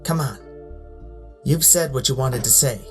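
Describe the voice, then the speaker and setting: A woman's voice: rumbling low, unhurried, music in the background. A woman voicing a small, nerdy, earnest robot archivist in a motion comic, hesitant and pedantic. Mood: earnest, defensive.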